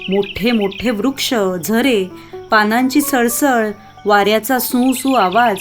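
A woman narrating a children's moral story in an expressive storytelling style. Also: mid-range voice.

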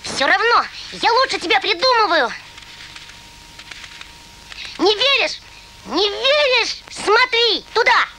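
A woman voicing an excitable young animal in an animated film, with a childlike, exclamatory delivery. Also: very high-pitched, slow pace, long pauses, slight background noise, heavily acted, low-quality recording. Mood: squabbling, eager. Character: excitable, squabbling young cartoon critters. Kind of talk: animated film character acting.

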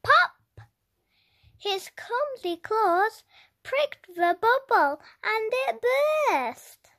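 A young-sounding reader telling a children's story aloud with deliberate, dramatic emphasis. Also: extremely high pitch, sing-song, sharp tone. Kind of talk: expressive read-aloud narration for young children.

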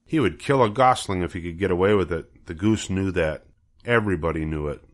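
A man's voice: low-pitched, brisk. An older man narrating a children's book as a storybook reader.

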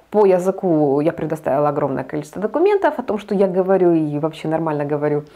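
Chatty woman vlogger, lowish voice. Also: darkish tone. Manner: chatty, hesitant, many fillers and restarts. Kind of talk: casual talk to camera. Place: indoor studio with green backdrop. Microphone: lapel mic.

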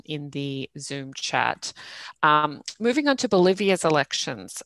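A woman in her thirties moderating an interview on a video call, putting questions in a thoughtful, halting way. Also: deep voice, gravelly, a bit bright, dry recording. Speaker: host and moderator, magazine editor. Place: indoor room. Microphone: headset on video call.

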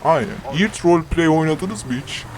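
A man's voice: fairly high pitch, a little fast. A man casually chatting and trolling other players in a game, provocative and foul-mouthed while acting innocent. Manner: provocative, swears a lot, acts innocent. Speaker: streamer trolling on a roleplay server. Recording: noisy game voice chat.

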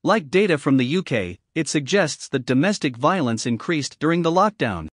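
Higher-pitched man reading aloud. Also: animated, moderate pace, no echo, studio quality. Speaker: reader of exam sample answers. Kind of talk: scripted read-aloud.

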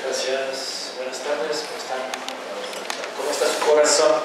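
A man's voice: medium pitch, level tone, a little slow. A man in his forties, born in France and speaking a second language, giving a thoughtful, hesitant panel talk with many restarts. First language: a regional language of France. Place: large classroom with panel audience. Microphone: handheld mic, far camera. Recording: echoey room, far mic, background hum.

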